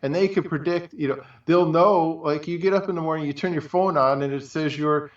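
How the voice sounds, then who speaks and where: A man's voice: on the high side, fairly quick. A man co-hosting a casual chat, talking in a rambling, colloquial way and piling up examples. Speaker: show co-host. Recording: muffled, echoey, distant from mic.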